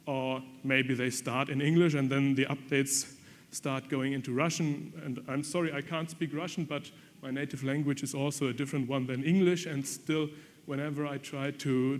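Male conference speaker, mid pitch, frank and um-heavy delivery, hall reverb behind him. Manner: frank, many ums and restarts. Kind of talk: technical conference presentation. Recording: long reverb from lecture hall.

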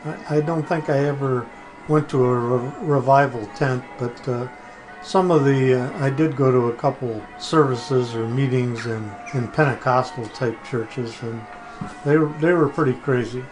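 An older man speaks as a podcast guest in a mid-range voice. He answers casually and haltingly, pausing to find words, over faint music. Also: medium pitch, steady tone, poor audio. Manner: halting, hesitant, searching for words. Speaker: podcast guest, former religion writer.

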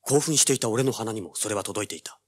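Brash, fast-talking young treasure hunter. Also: male voice, lowish voice, slightly rough. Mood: tense, urgent. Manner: brash, threatening, hard-boiled. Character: cocky, ruthless young male treasure hunter hero. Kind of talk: dramatic radio drama acting with first-person narration.

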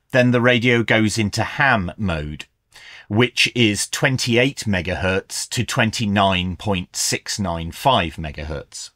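A man's voice: on the low side, a bit bright, no room sound, clean studio sound. A middle-aged man giving a methodical, explanatory product review in an instructional style. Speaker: radio reviewer, presenter.

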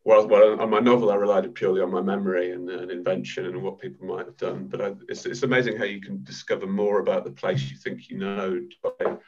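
A man's voice: low-pitched, fairly even intonation, quick pace, few pauses, darkish tone. A man in his thirties from the north of England, a guest author on a video-call panel. He talks in a hesitant, casual way, repeating words and restarting often. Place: home room, video call. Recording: dull lo-fi video call audio.

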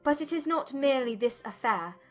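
A woman acts a spirited young gentlewoman from a period romance audiobook. Her high voice delivers formal, accusing lines with firm emphasis and indignation. Music plays softly behind her. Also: lively intonation, a little fast. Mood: cold accusation. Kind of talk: dramatised audiobook acting.

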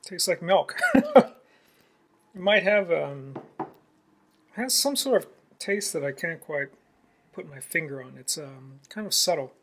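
A man's voice: fairly high pitch, lively intonation, takes it slowly, long pauses, a bit bright, slight background noise. A man in his forties vlogging casually into a phone held at arm's length, musing aloud and trailing off with fillers. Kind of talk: casual travel vlog. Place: outdoors in snowy town, then in a truck, then indoors at a table. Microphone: handheld phone at arm's length.

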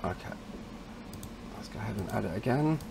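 A man presenting a casual step-by-step software tutorial in an informal way, addressing viewers as guys. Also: fairly low pitch, expressive, a little fast, vocal fry, noisy background. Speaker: tutorial presenter, installer trade.

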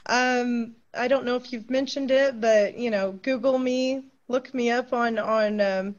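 A woman's voice: a little high, fairly even intonation, a little slow, muffled recording. A woman, an author and actress, speaking earnestly as a guest on a video call interview.

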